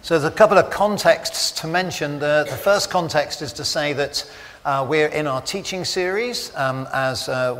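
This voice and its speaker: A man with a husky voice preaching a conversational sermon at a church service, with chatty, self-deprecating jokes. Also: on the high side, fairly bright tone. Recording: long hall reverb.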